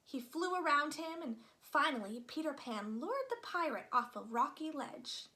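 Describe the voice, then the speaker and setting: A woman reading a children's story aloud as an expressive narrator. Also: high voice, a little slow. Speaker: storybook reader.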